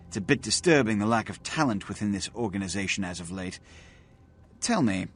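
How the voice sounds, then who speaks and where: A scheming aristocratic vampire prince for a video game. His speech is formal and condescending, with sweeping, animated intonation. He sounds pompous and cold, over faint music. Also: lowish voice. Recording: music behind the voice.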